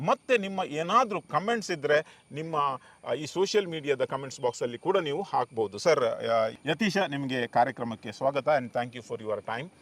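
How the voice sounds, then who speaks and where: A man's voice: medium pitch, expressive, moderate pace, fairly bright tone. A man hosting an interview conversationally, often mixing in English words. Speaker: show host, interviewer.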